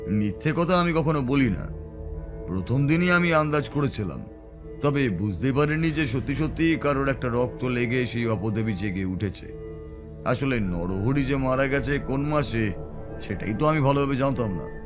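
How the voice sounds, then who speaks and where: A calm tantric storyteller played by a man recounts uncanny tales in a measured, reflective way. His voice sits at a medium pitch, with music behind it in this audio drama. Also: lightly acted. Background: background music.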